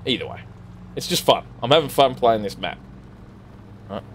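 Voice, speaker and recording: A man's voice: medium pitch, very animated, normal speed, slight background noise. A man with an Australian accent chatting casually to viewers while playing a farming game, rambling and swearing freely. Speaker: gaming streamer. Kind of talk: casual let's-play commentary while driving.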